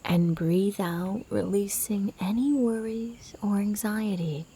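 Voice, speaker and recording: Female meditation guide: mellow, half-whispered and breathy, gentle, soothing delivery at an unhurried pace. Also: medium pitch. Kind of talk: guided sleep meditation narration.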